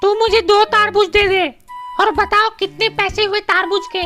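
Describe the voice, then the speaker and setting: Tiny, extremely high-pitched cartoon bird. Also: female voice, fairly even intonation, normal speed, sharp tone, soft background music. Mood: chirpy. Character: cartoon bird, chirpy and demanding. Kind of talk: children's cartoon dubbing.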